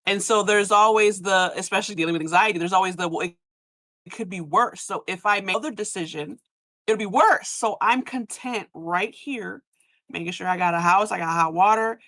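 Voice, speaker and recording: A woman in a casual panel discussion, speaking colloquially and acting out imagined remarks in an animated way. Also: mid-range voice, no room sound. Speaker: podcast live event panelist.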